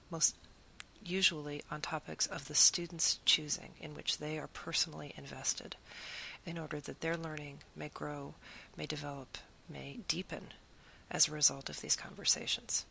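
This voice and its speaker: A woman's voice: sits low, gravelly, sharp tone, slight background noise, rough recording. A woman who teaches Spanish, giving an earnest, reflective talk about her teaching and piling up long lists of phrases.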